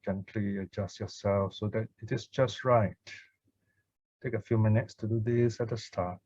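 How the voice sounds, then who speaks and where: A man speaking gently and with pauses as a Buddhist teacher, chanting and guiding meditation. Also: fairly low pitch, fairly even intonation, no room sound. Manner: gentle, measured, pausing between short phrases. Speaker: Buddhist teacher leading puja and guided meditation. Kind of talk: Pali chanting and guided meditation instruction. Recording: dull, lo-fi online call sound.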